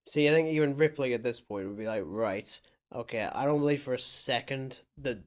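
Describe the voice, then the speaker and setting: A man's voice: mid-range voice, a little fast. Musing man in casual film chat. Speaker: film podcast co-host. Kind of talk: casual movie commentary chat.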